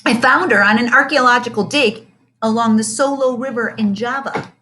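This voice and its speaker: A woman voicing a calm, eccentric male schoolteacher in a storybook, mild and pondering. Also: on the high side, normal speed, pitched above the performer's own voice. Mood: pleasantly earnest.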